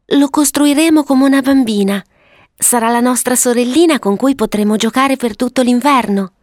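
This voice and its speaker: A woman voicing a sweet, eager, bossy little girl in a storybook, earnest and excitable as she gives instructions. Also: a little high, moderate pace, no room sound, clean studio sound, pitched above the performer's own voice, lightly acted. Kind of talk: storybook character voice.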